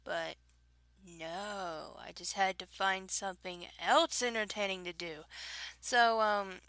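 A young woman with a Southern drawl talks in a loose, rambling way, breaking into giggles and tripping over her own sentences. Her voice is bright and forward, and she speaks very slowly. The recording is close and dry, with no room sound. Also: mid-range voice, steady tone, dry recording. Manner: giggly and rambling, with many restarts. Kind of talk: casual chat recorded alone.

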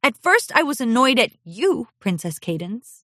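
A young filly pony from a storybook, lonely and eager to be liked, as a woman reads her. She talks in a high, earnest, pleading voice that swoops up and down. The sound is close and dry. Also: sing-song, a little slow, sharp tone, no echo, full character voice. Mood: aggrieved.

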